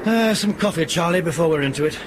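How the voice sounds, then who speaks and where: Casting: man to play unflappable British captain, higher-pitched, understated authority and dry wit, radio play over engine noise. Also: close to an everyday voice. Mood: dry, calm. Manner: brisk, understated, commanding. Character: unflappable, dryly joking male airline captain in command. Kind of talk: radio drama acting. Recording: noisy aircraft cockpit sound effects.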